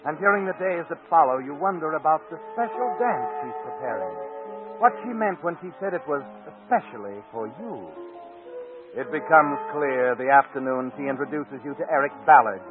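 A man's voice: a little high, animated, normal speed, lightly acted. Tinny old radio recording, faint music, actor as male suspense narrator, knowing, insistent, foreboding. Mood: ominous. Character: knowing, ominous male narrator addressing the hero.

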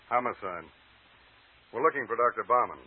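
An actor playing a blunt, insistent homicide detective in 1940s radio style, husky and matter-of-fact. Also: male voice, medium pitch, lively intonation, moderate pace. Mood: dry, businesslike. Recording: tinny, echoey old radio recording.

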